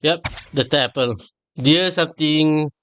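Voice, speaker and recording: Slow-talking man casually livestreaming a game. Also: on the high side, no room sound, highs cut off.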